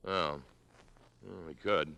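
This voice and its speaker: An actor playing a breezy, flirtatious suitor with a casual, offhand manner in a vintage radio drama. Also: male voice, low voice, fairly even intonation, slow, vocal fry, sharp tone, no room sound, full character voice. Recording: noisy vintage radio broadcast recording.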